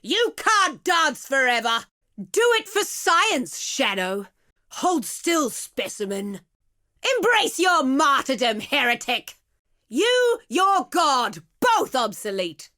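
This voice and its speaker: A woman's voice: high-pitched, big pitch swings, slow pace, sharp tone, exaggerated voice. An actress playing an arrogant, ruthless female villain in video game combat, taunting and imperious. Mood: scornful.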